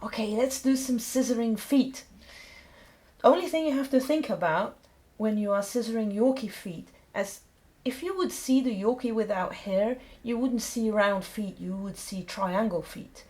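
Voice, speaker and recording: A woman's voice: a little high, moderate pace, darkish tone. A middle-aged woman with a Belgian accent, a non-native English speaker, giving an informal step-by-step grooming tutorial in simple phrases. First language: not English. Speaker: dog groomer presenting a tutorial. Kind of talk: explanatory demonstration narration.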